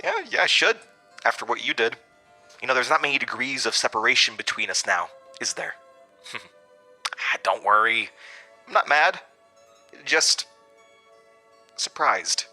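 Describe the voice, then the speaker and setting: A man's voice: medium pitch, lively intonation, a little slow, pauses often, sharp tone, faint music behind, full character voice. A man voicing a supernatural man in a hat in a horror audio drama, gloating, mocking and playfully showy. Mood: mocking, amused.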